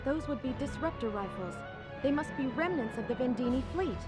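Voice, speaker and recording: Space traveler, high female voice, self-possessed, analytical, formal, composed, game dialogue, loud music. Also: expressive, a little fast. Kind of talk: scripted video game voice acting.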